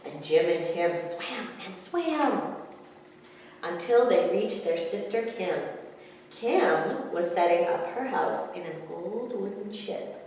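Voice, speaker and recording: A woman's voice: lowish voice, lively intonation, slow, darkish tone, a little hiss or hum. Woman, thirties, children's library storyteller, read-aloud style, echoey hall, distant mic.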